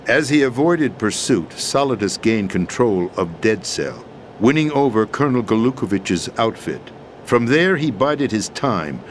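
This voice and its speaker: An actor playing a weary, formal deposed president and schemer in a video game, explaining things in a measured, deliberate way. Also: male voice, fairly low pitch, a little hiss or hum, close to an everyday voice. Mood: grave, deliberate. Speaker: video game character in a cutscene. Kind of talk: dramatic expository video game acting.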